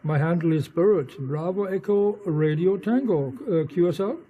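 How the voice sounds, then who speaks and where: Man, Dutch accent, radio operator, high-pitched, muffled band-limited audio, halting delivery. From eastern Netherlands. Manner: halting, spells words with phonetic alphabet. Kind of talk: ham radio contact.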